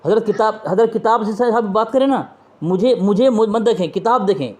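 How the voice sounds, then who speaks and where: Man, high voice, religious debater, pressing questions, quoting texts, insistent. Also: fairly quick, a little mellow. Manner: quotes from books and scripture. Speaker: interviewer and debater. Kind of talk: religious debate on a phone call. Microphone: phone call.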